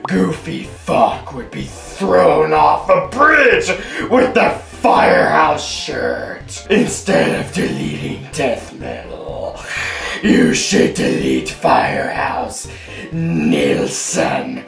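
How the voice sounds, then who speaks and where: A grim death metal zealot, echoing from a distance over music. The delivery is theatrical and extremely slow, with a gravelly grain, as in a mock-dramatic comedy reading. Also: male voice, fairly high pitch, big pitch swings, a bit bright, full character voice. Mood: scornful.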